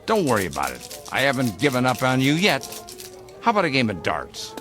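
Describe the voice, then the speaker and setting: An actor playing a jovial, pompous boss cutting loose over drinks, with an expansive, playfully grandiose theatrical delivery. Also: male voice, medium pitch, huge pitch swings, brisk, a bit bright, faint music behind. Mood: breezy, coaxing. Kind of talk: theatrical radio drama acting.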